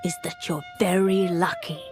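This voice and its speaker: A woman voicing a cryptic, imperious seer witch in video game dialogue, measured and knowing. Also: fairly low pitch, steady tone, natural voice. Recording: faint music behind the voice.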